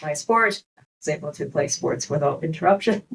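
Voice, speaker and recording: A young adult woman from Hong Kong, speaking as a guest on a business podcast interview, reflective and unhurried, laughing often. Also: low-pitched, animated, gravelly, fairly bright tone, a little hiss or hum. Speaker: clinic co-founder, guest.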